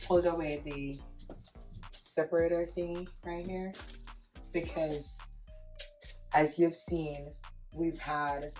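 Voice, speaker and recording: Low-pitched woman vlogger, hoarse with a cold, chatty and casual, faint music under. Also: darkish tone, poor audio. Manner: chatty, addresses viewers as guys. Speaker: home vlogger. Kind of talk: casual vlog chat.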